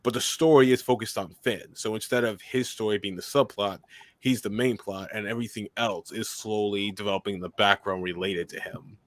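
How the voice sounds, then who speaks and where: Monotone man, dry close sound. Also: fairly low pitch, brisk, dry recording. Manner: monotone, steady delivery. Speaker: guest filmmaker and commentator. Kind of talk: casual interview answers.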